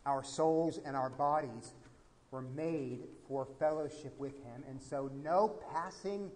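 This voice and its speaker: Animated American pastor preaching in a reverberant church. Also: male voice, on the high side, slow pace. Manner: animated, gesturing, swings from quiet to emphatic. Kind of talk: sermon. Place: church sanctuary at a pulpit. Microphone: pulpit microphone.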